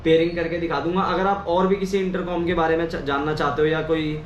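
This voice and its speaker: A man's voice: a little high, flat delivery, fast. A man giving an informal hands-on tutorial, explaining things step by step and often repeating himself. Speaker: video creator giving a how-to. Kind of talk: casual hands-on tutorial chat. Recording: clearly noisy ambient background.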